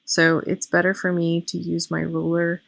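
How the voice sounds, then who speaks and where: A woman in her thirties narrates a crafting session in a relaxed, chatty voice-over. Her voice is hoarse, pitched a little low and full of gravelly fry. She explains each step as she goes and is quick to point out her own mistakes, recorded close and dry with no room sound. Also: dry recording. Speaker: planner vlogger.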